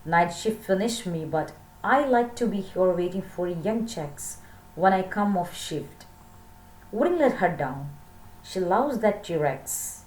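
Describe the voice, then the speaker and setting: Female teacher, deliberate and slow, explaining to pupils; roomy sound, faint noise. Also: fairly low pitch, darkish tone. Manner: deliberate, checking questions to pupils. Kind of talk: English lesson for children, reading text aloud and explaining. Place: small plain room indoors. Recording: roomy echo with slight background noise.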